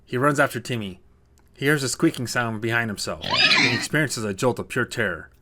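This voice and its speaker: A man's voice: medium pitch, wildly swooping pitch, brisk, very noisy. A man narrating a scripted short story as a storyteller.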